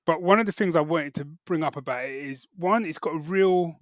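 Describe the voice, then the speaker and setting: A middle-aged British man chatting casually as a podcast co-host, halting and correcting himself as he goes. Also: fairly high pitch, no room sound. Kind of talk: casual chat, geek reviews.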